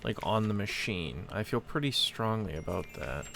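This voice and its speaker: A man gives casual gaming commentary, thinking out loud in a hesitant way. His voice is fairly low with plenty of fry and lively swings in intonation. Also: normal speed, background noise. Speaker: game streamer. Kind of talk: casual let's play commentary. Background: game music.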